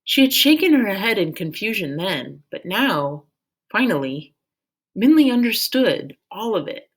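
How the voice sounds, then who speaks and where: Slow-paced woman reading a storybook aloud. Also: medium pitch, sing-song, husky. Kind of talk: expressive scripted storybook narration.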